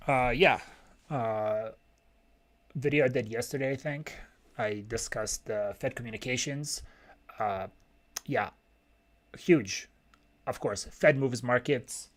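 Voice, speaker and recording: Man, mid-range pitch, casual live financial commentator, opinionated, plain-spoken, fragmented off-the-cuff delivery. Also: slow pace, pauses often. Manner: blunt, opinionated. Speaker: livestream host and macroeconomist.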